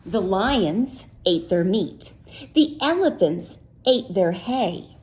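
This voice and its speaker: Slow, lively-voiced woman reading to children. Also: medium pitch. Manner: playful, gently questioning, sing-song; slow and deliberate, pausing for answers. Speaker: teacher reading aloud. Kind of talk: children's read-aloud with questions.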